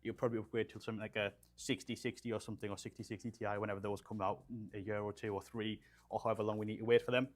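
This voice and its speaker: Young male streamer, lower voice, casual and loose, lots of fillers, small studio. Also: little pitch movement, racing. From Poland, raised in England. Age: young adult. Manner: chatty, rambling with fillers, reads chat aloud. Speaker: livestream host building gaming PCs. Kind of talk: casual livestream chat. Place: small studio room with shelves.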